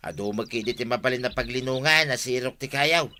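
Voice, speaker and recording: Man playing bright-voiced, folksy village buddy. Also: medium pitch, fairly quick, slight background noise, lightly acted. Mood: friendly. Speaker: radio drama actor. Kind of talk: Philippine radio comedy-drama acting.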